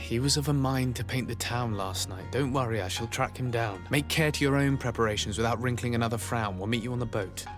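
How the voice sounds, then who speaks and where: An actor playing a polite, composed young nobleman in a video game, formal and measured. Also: medium pitch, music in the background, natural voice. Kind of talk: video game character acting.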